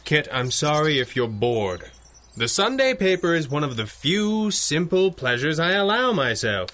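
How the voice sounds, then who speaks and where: A wealthy, unflappable crime-fighting boss in a radio adventure serial. His voice is fairly high for a man and very animated in pitch, with dry, urbane, formal phrasing. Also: unhurried. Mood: distracted, patient. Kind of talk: old-time radio adventure acting.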